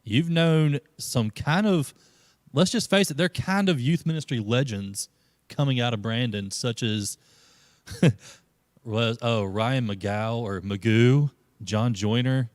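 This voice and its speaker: A man's voice: takes it slowly, no echo, studio quality. A man, a youth pastor hosting a playful live-stream interview chat. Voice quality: low voice. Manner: playful, joking, laughs often.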